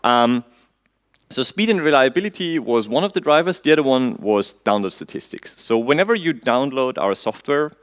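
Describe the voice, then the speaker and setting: A man from Austria with an Austrian accent, a native German speaker giving a technical conference talk in English. He quizzes the audience, drops in dry jokes and fills pauses with frequent "um"s. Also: medium pitch, expressive, clean tone. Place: conference stage before an audience.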